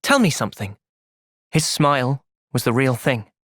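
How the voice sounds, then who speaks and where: Earnest young English game hero. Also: male voice, medium pitch, very animated, normal speed, a bit bright, dry recording, clean studio sound. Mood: calm, earnest. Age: young adult. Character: earnest, thoughtful young male soldier, gentle but resolute. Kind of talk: video game cutscene acting.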